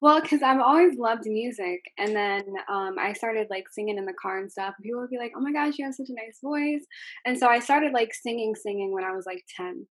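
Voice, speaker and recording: A young woman who sings, answering interview questions in a soft voice, with many ums and likes. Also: on the high side, fairly quick, no echo. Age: young adult. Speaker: singer being interviewed.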